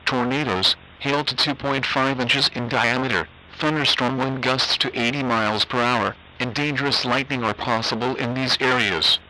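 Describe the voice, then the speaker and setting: A man reading a scripted emergency weather bulletin in a formal, measured, impersonal way. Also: mid-range voice, fairly even intonation, sharp tone, rough recording. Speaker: weather alert announcer.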